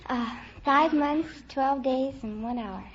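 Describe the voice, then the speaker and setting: High-voiced young woman on a noisy old radio. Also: slow. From New Orleans, Louisiana. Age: young adult. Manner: giggly, earnest, detailed. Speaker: quiz show contestant. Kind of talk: chatty anecdote on a radio quiz. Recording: old 1940s radio, dull, noisy, audience.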